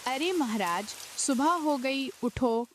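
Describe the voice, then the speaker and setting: A woman's voice: sits high, lively intonation, slow pace. A woman voicing a stubborn, bossy but homely village wife in a cartoon story, insistent and given to scolding. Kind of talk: cartoon story dialogue acting. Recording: hiss with rain and sizzle noise.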